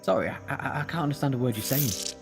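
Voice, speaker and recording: A man playing an eccentric, quick and cheeky alien time traveller in a fan sci-fi audio drama, with a chatty, flippant manner. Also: medium pitch, expressive, gravelly, music in the background. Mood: flustered.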